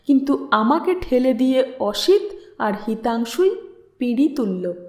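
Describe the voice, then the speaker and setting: High-voiced woman narrating slowly, roomy echo. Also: darkish tone. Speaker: audiobook narrator. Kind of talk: expressive literary story narration.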